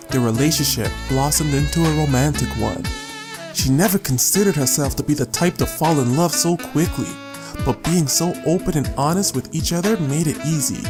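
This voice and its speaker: A man reading scripted audiobook narration as a storyteller, measured and with deliberate pauses. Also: a little high. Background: guitar music.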